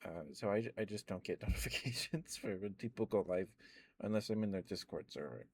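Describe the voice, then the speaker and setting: A young man, an ASMR streamer, rambling softly in casual chat with frequent chuckles. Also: on the low side, brisk, breathy edge, dry recording. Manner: soft-spoken, rambling, chuckles often.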